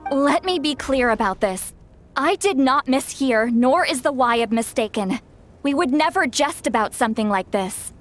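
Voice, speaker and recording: A woman's voice: sits high, expressive, moderate pace. An actress playing a proud, fretful, easily exasperated shaman woman in an anime game, speaking bluntly. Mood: firm, troubled.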